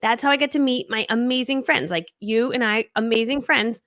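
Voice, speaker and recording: A woman's voice: a little high, a little fast, a bit bright, dry recording, studio quality. A woman guest in a casual interview, chatting in a bubbly way with frequent laughs and fillers. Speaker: guest speaker.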